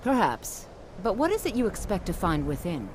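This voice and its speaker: A formal, haughty female mage guarding a college gate for a fantasy game. Her voice sits on the low side and moves widely in pitch as she probes in measured phrases. Clear background noise sits behind her. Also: wildly swooping pitch. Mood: measured, probing. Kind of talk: fantasy video game dialogue.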